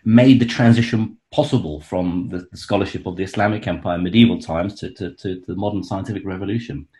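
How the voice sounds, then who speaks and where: A man explains history as a guest expert on an interview show. He talks with keen enthusiasm, stumbling over restarts and filling pauses with um and uh. His voice sits on the low side. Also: warm tone. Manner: hesitant, many restarts and fillers, enthusiastic. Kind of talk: conversational explanation.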